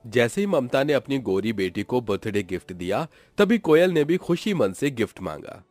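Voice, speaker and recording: A man's voice: medium pitch, big pitch swings, normal speed, darkish tone. A man narrating a scripted story in a dramatic storytelling style. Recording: music behind the voice.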